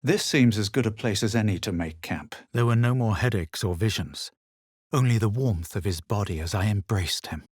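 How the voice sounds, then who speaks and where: An actor playing a haughty, self-assured adventurer in a fantasy video game, with a measured, dramatic and superior delivery. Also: male voice, fairly low pitch, husky, no room sound, studio quality. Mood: composed, superior. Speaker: voice actor of a player character. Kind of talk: scripted video game character lines and narration.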